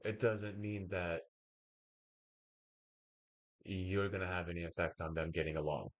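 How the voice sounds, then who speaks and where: Young male streamer, low-pitched, Bulgarian first language, slow and hesitant rambling commentary. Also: fairly even intonation, muffled recording. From United States. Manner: slow, hesitant, wordy monologue with pauses. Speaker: reaction streamer. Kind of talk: casual commentary.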